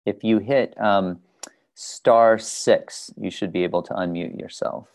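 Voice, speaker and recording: Very low voice, vocal fry, patient instructive host, casual group video call. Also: a little slow, darkish tone. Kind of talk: casual meeting facilitation, guiding introductions.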